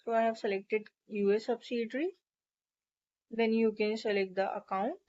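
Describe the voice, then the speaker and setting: A woman narrating a step-by-step software tutorial in an instructional, explanatory way. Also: fairly high pitch, fairly even intonation, moderate pace. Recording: dull, lo-fi microphone sound.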